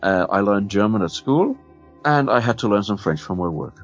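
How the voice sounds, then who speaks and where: A man's voice: fairly low pitch, animated, moderate pace, dull audio, soft background music. A man from Denmark with a Danish accent, chatting carefully on a podcast, often repeating words as he searches for them. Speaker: podcast guest. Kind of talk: casual chat.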